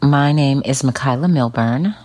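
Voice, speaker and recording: A woman answers interview questions in a considered way. Her voice is exceptionally low. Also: normal speed, darkish tone. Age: 50s. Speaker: poll respondent.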